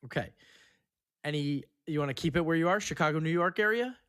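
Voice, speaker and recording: A man's voice: a little high, brisk, fairly bright tone, smooth. A man hosting a casual podcast chat, leading the others through a guessing game.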